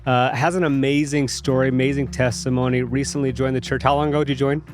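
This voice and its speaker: A man hosts an interview podcast, asking questions in a friendly way and filling pauses with short affirmations. His voice sits at a middling pitch, with faint music running behind it. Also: flat delivery, darkish tone. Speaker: podcast host. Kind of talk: interview hosting.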